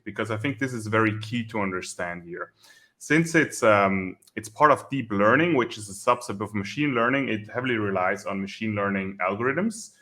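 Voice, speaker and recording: A man with a strong German accent, calmly explaining the technical side as a security researcher co-hosting a video talk. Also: lowish voice. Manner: methodical, explanatory.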